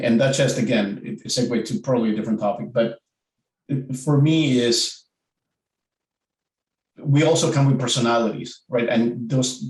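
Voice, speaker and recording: A man's voice: medium pitch, a little mellow, roomy sound, far from the mic, rough recording. A man from Argentina with an Argentine Spanish accent, speaking reflectively on a virtual panel and often repeating words as he thinks.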